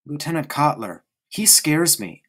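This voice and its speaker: Narrator doing a quiet, frightened young boy. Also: medium pitch, big pitch swings, a little slow, pitched above the performer's own voice. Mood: subdued. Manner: quiet, subdued, sad. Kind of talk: audiobook character reading.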